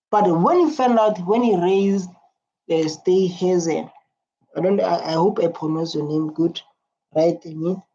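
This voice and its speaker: A mellow, darker voice talks loosely over a clip. It rambles through viewer comments and drifts into its own thoughts. The recording sounds dull and lo-fi, with the top end rolled off. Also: mid-range voice. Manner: rambling, heavy use of "like" and restarts. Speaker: reaction video host.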